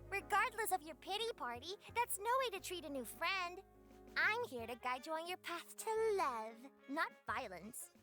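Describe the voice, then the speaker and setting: A woman's voice: very high-pitched, expressive, normal speed, pushed and bright, faint music behind, voice pushed up, heavily acted. A woman voicing a tiny, prim, preachy pixie guide from a visual novel, pompous and theatrical. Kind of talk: visual novel character voice acting.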